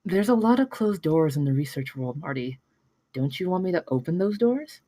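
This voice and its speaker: A woman's voice: fairly low pitch, lively intonation, normal speed, mellow, no room sound. A woman playing a pragmatic, persuasive researcher in a screenplay table read, matter-of-fact in manner. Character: pragmatic, persuasive female researcher named Peggy, unflappable.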